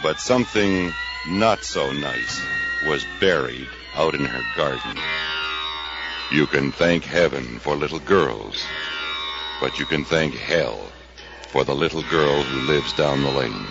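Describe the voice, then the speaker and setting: A man playing an ominous, deliberate movie-trailer announcer narrating a horror film. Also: very deep voice, exaggerated voice. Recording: dull vintage-sounding audio, loud music.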